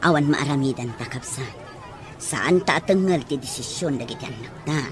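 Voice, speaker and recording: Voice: medium pitch, moderate pace, a crowd in the background, background noise, natural voice. An actor playing a forgiving, consoling middle-aged parent in an audio drama. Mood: consoling, resigned. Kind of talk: Filipino audio drama dialogue in Ilocano.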